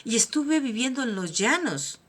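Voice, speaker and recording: A woman's voice: a little high, sing-song, moderate pace, slightly rough. Emphatic Colombian woman speaker. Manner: emphatic, preaching, swings from soft to loud. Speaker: host, motivational speaker. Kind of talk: personal motivational monologue.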